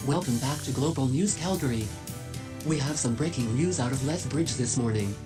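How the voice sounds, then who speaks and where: A man anchoring a breaking news broadcast in a brisk newsreader delivery. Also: medium pitch, music in the background.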